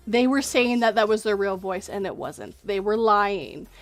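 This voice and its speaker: A woman's voice: medium pitch, animated, moderate pace, soft background music. A woman who narrates horror stories, chatting casually and speaking her mind with lots of restarts. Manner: candid, opinionated, lots of restarts. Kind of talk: casual livestream chat.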